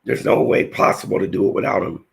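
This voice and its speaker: A man with a hoarse, nearly lost voice, slowly reading Bible verses and teaching a scripture lesson with halting restarts. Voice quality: raspy, gravelly.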